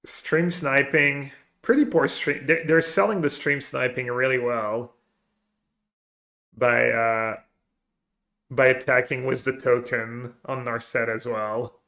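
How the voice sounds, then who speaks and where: A man with a bright, forward voice streams a card game live. He talks casually to his viewers and works through his plays out loud in a mid-range pitch. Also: steady tone, slow. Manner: thinking aloud, planning plays. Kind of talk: live gameplay commentary, reading chat.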